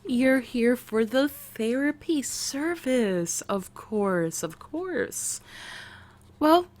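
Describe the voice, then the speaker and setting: A woman with an American accent voicing an eccentric, excitable woman scientist playing therapist in an ASMR roleplay, with quirky, halting delivery. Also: fairly high pitch. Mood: quirky, welcoming. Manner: quirky, halting, drawn-out delivery with sudden swoops. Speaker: roleplay voice performer. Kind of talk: ASMR character roleplay, speaking to the listener.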